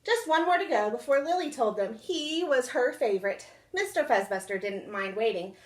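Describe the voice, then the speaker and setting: A young woman reading a children's storybook aloud indoors in an expressive, dramatic storytime style. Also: a little high, normal speed. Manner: animated, dramatic. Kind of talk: expressive picture-book read-aloud. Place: small indoor room.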